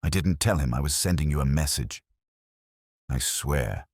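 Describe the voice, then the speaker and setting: A man voicing a tense, guilt-ridden woman who speaks quietly and hesitantly in a detective audio drama. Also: extremely low pitch, normal speed, breathy edge, dry recording, clean studio sound, heavily acted. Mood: anxious.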